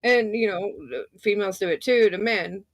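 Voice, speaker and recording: A woman guest with a low voice, talking in a matter-of-fact way and often saying "you know". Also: normal speed, gravelly, dry recording. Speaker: streamer guest. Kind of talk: casual panel chat.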